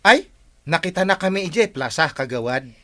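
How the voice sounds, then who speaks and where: A man's voice: fairly high pitch, lively intonation, normal speed, sharp tone. A man acting a cheeky, tattling village watchman in an Ilocano comedy drama, exaggerated and teasing, with an Ilocano accent.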